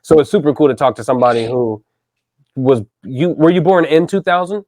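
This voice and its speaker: A man's voice: fairly high pitch, a little fast, a little mellow, no echo. A man in his thirties hosting a casual podcast interview, enthusiastic and chatty, with lots of "dude" and "man". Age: 30s. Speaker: podcast host.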